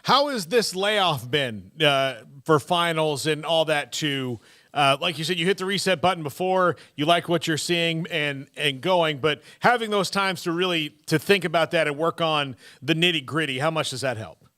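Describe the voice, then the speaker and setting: A man co-hosts a sports radio interview with long, winding questions. His voice is bright and slightly high, recorded close and dry. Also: moderate pace, no room sound.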